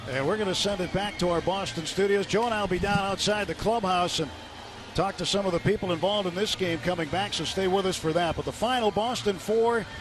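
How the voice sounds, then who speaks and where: A man working as a radio play-by-play announcer, giving live baseball commentary from a stadium booth. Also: high-pitched.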